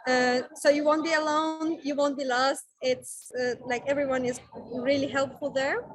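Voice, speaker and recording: A woman's voice: high voice, level tone, normal speed, a crowd in the background. A woman who is a foreign student, telling prospective exchange students about her university over a video call and stopping now and then to search for a word. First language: not German. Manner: friendly, searching for words with fillers. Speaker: international student. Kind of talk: presenting at a virtual fair.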